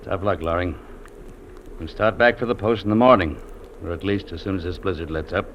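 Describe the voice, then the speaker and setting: An actor playing a stern, unyielding Mountie sergeant, speaking curtly in 1950s radio drama style. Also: male voice, low voice, a little fast, lightly acted. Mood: curt, businesslike. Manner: curt, stern, blunt. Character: hard, unyielding Mountie sergeant, adult man. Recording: dull, noisy old radio recording.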